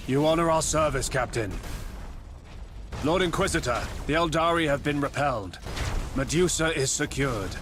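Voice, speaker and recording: A man playing a resolute, dignified naval high admiral in a video game, formal and commanding. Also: moderate pace, over music, lightly acted. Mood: dignified resolve. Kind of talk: video game cutscene acting.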